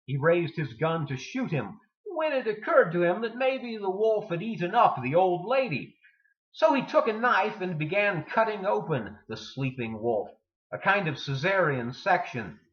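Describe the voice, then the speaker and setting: A man giving a dramatic poetry reading with deliberate, theatrical delivery and emphatic pauses. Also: sits high, very animated. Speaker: poetry reader. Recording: dull lo-fi sound in echoey room.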